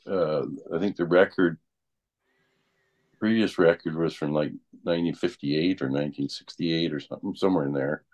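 A man in casual conversation, explaining things haltingly and repeating words as he goes. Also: extremely low pitch, a little mellow. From Canada. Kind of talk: casual group chat about weather.

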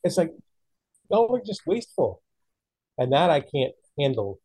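A man's voice: a little high, big pitch swings, unhurried, dark tone, slightly rough, no echo, poor audio. A man in casual conversation, talking in an opinionated, emphatic way. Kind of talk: casual chat.

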